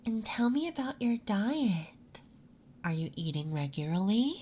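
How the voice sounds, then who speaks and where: A soft-spoken ASMR performer playing a gentle, calming nurse, speaking in a soothing, unhurried way during a roleplay intake. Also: medium pitch, slight background noise.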